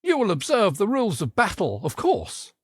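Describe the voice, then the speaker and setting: A man's voice: high voice, wildly swooping pitch, moderate pace, breathy edge, no echo, studio quality, pitched above the performer's own voice, heavily acted. A man voicing a gallant, formal knight in a children's storybook, with a proper, declamatory manner. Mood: formal, firm.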